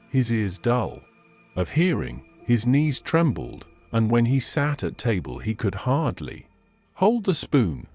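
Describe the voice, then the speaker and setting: Lively male storybook narrator over music. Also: medium pitch. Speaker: audiobook narrator. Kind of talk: scripted fairy tale narration.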